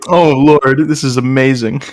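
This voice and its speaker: A man's voice: mid-range voice, steady tone, a little slow, dry recording, clean studio sound. A man in casual comedic banter, reading aloud in a mock-earnest way and often laughing. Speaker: online comedy show host reading fanfiction aloud.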